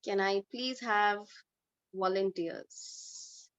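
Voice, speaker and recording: Woman host, mid pitch, measured, filler-heavy, dull lo-fi call audio. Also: steady tone. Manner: measured, many filler uhs. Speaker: table topics master. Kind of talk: online club meeting hosting.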